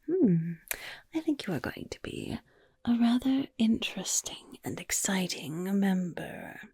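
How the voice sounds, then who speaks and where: A woman voicing a friendly, sly female goblin quartermaster in an ASMR roleplay, in a soft breathy whisper, coaxing and unhurried. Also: mid-range voice, dry recording, full character voice. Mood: coaxing, intrigued. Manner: coaxing, unhurried, repeats words for emphasis.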